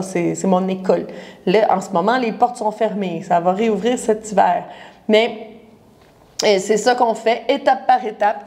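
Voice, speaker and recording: Woman, 40s, Quebec French accent, naturopath, chatty and direct with the viewer, mid-pitched voice. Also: a little mellow. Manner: chatty, addresses the viewer directly, explains with everyday comparisons. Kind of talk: casual live talk to viewers.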